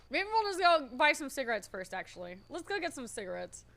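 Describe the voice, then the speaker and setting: A woman streamer chatting loudly and nervously to her viewers, thinking out loud and swearing freely. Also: sits high, sing-song, a little fast, gravelly, slight background noise. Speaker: streamer playing a roleplay character. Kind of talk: casual chat to stream viewers.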